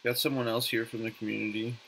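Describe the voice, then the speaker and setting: A man's voice: mid-range voice, flat delivery, quick pace, vocal fry, noisy background. A young man, a native English speaker, chatting casually on a live gaming stream from his bedroom in a laid-back, friendly way. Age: young adult. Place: small bedroom at home, indoors. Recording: music playing behind the voice. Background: music.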